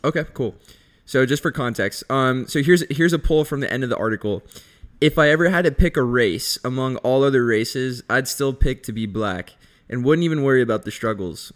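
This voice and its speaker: A man's voice: mid-range voice, brisk. Upbeat slangy male podcast host. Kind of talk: casual conversation.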